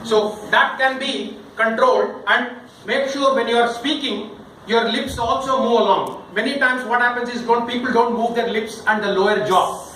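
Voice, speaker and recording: High-voiced Indian man, very echoey room. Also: moderate pace. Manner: animated, jokey, often checks with listeners. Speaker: workshop speaker teaching public speaking. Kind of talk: interactive training talk with demonstrations. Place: indoor room in front of a live group. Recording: very echoey room, distant mic, noisy.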